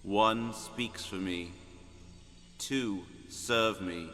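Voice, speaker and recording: An actor playing a stern oracle in a fantasy game, declaiming cryptic pronouncements with command. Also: male voice, on the low side, extremely slow, sharp tone, full character voice. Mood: stern, proclaiming. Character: stern male oracle proclaiming a jealous god. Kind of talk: scripted fantasy game acting. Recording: long hall reverb, faint music.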